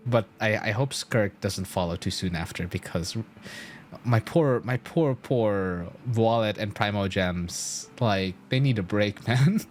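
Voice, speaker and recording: A young man live-streaming a game, chatting casually with lots of slang, swearing and animated reactions. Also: lowish voice, measured pace, a little hiss or hum.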